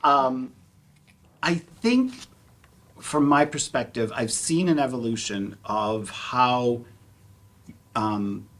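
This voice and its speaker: A man's voice: medium pitch, animated, takes it slowly, pauses often, a bit bright. A man talking casually as a panelist at a community theater discussion.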